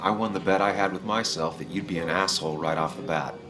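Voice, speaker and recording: An actor playing a guarded former journalist in a video game, speaking tersely with hesitant, dry sarcasm. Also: male voice, sits low, fast, music in the background, lightly acted. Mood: dryly sarcastic.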